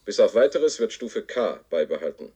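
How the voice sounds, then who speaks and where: A stern, authoritarian wartime propaganda announcer from a 1970s radio play. His voice is rough-edged and declamatory, delivering orders in clipped, official phrases. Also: on the low side, very animated, moderate pace, mellow, dull audio. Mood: cold, official.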